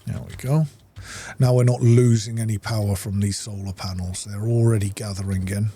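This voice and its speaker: A man's voice: lowish voice, steady tone, moderate pace, mellow, slight background noise. A man giving casual gameplay commentary, unhurriedly and methodically thinking aloud as he plays. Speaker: video game streamer.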